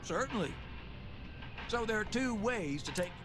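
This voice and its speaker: High-voiced polite bank clerk. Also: male voice, expressive, a little slow. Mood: courteous. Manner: courteous, formal. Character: polite, businesslike bank clerk in a space game. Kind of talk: video game character acting. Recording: loud game music over the voice.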